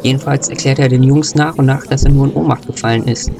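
A man's voice: medium pitch, fairly even intonation, fast, creaky, background noise. A man narrating a playful scripted Christmas story.

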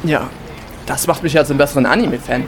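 Timid young anime fan guy acting, high lively voice, loud noise behind. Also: fast talker, natural voice. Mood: defensive. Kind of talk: amateur audio drama acting.

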